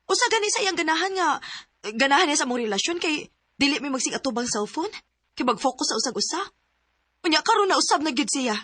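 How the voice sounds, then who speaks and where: An anxious young woman in love, bright and fairly high in voice, with a Cebuano accent. She pleads with open emotion in a radio love drama, recorded close and dry. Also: big pitch swings, fast talker, dry recording. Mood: troubled. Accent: Cebuano Filipino. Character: anxious young woman in a strained romantic relationship.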